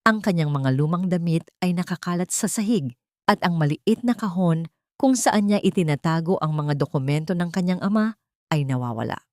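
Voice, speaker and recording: A woman's voice: lowish voice, animated, normal speed, darkish tone, no echo, clean studio sound. A woman narrating a scripted dramatic love story in a solemn, sentimental manner.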